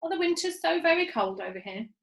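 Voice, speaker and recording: A woman reader gives voice to a sceptical father monkey in a children's storybook. He frets, questions and exclaims with lively pitch, in an echoey room. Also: quick pace, creaky, exaggerated voice. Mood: worried, doubtful.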